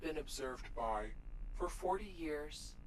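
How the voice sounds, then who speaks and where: A man's voice: a little high, animated, slow, a little hiss or hum, rough recording. A man acting a grave figure of cosmic dread in an analog horror drama, speaking solemnly and ominously.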